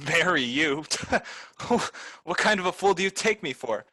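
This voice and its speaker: A man's voice: sits high, animated, a little slow, bright, forceful tone, heavily acted. A man voicing a greedy, scheming butler who bullies and mocks, in 1930s-style radio drama acting. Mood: scornful. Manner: bullying, mocking laughter. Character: greedy scheming male butler, harsh and scornful.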